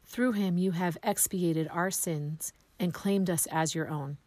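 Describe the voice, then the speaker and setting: A woman with a raspy, hoarse voice from a cold, leading a prayer and then sharing her personal testimony softly and hesitantly. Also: on the low side, a little slow, dry recording. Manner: soft, hesitant, full of ums and restarts.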